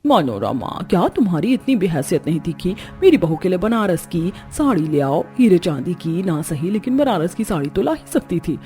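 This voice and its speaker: A woman's voice: on the low side, very animated, normal speed, hardly any pauses, dark tone. A woman voicing a boastful, condescending middle-aged mother-in-law in a cartoon story, with music behind. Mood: mocking pity. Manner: condescending, taunting. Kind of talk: Hindi cartoon story voice acting.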